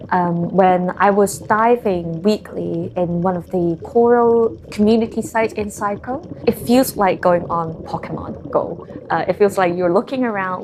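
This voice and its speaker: A woman in her thirties from Hong Kong, speaking English with a Hong Kong accent, who talks about her work as a scientist and founder in a measured, thoughtful documentary interview with frequent ums and uhs. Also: on the low side, a little mellow, slight background noise. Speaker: marine scientist and company founder.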